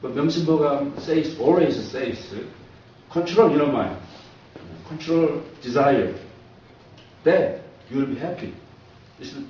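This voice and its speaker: A man with a Korean accent explaining ideas as a professor in simple, halting second-language English, using short emphatic phrases. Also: fairly high pitch, mellow, slight background noise. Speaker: professor, guest speaker. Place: echoing talk room at a community centre. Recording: echoey hall from far off, muffled.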